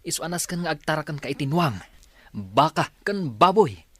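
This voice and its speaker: A man's voice: on the high side, animated, moderate pace, bright, forceful tone, slightly rough, heavily acted. A man playing a young man's teasing buddy in an Ilocano audio drama, jocular and familiar. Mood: teasing, chummy. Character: teasing, chummy male buddy.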